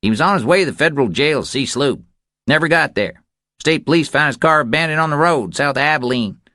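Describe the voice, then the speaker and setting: A male narrator puts on a Texan ranch matriarch in her fifties for an audiobook thriller. She is bossy and curt, pitched far above a man's natural register. The sound is close and dry. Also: animated, fast, pushed and bright, dry recording. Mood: curt, impatient. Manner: brusque, bossy.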